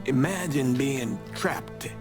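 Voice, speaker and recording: A somber, reflective man in a game's mock documentary, played by an actor. He speaks slowly in a middle pitch over clear string music. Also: lightly acted. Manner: solemn, deliberate. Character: somber, reflective man recalling war's aftermath. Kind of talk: documentary-style interview acting in a game.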